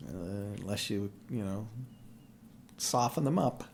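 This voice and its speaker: A woman with an extremely deep voice for a female speaker chats casually. Her delivery is gravelly with fry yet lively in pitch, in a home recording. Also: slow, a little hiss or hum. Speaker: podcast co-host. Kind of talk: casual chat between a couple. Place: at home in self-isolation.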